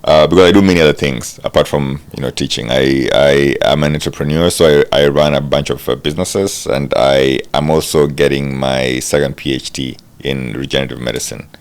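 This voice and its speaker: A man in his thirties, a podcast guest, talking casually with frequent halting restarts. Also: a bass voice, steady tone, clean tone. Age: 30s. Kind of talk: casual chat.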